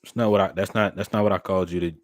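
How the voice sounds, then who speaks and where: A young man, talking to his livestream chat in an animated, preacherly way. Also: low-pitched, very fast, no room sound, studio quality, faint music behind. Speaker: streamer and podcast host.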